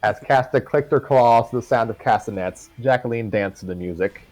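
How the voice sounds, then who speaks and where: A man's voice: medium pitch, normal speed, a little mellow, poor audio. A man reading a fan story aloud on a livestream, casually, with stumbles and asides.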